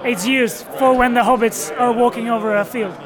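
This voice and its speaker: A woman's voice: on the high side, fairly even intonation, normal speed. A woman from Sweden, a native Swedish speaker, being interviewed casually in a busy indoor crowd. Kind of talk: casual chat.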